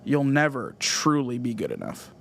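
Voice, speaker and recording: A man's voice: mid-range voice, huge pitch swings, moderate pace, creaky. A man from Southern California, hosting a solo music podcast in an earnest, scripted essay style. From Southern California, USA. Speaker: podcast host.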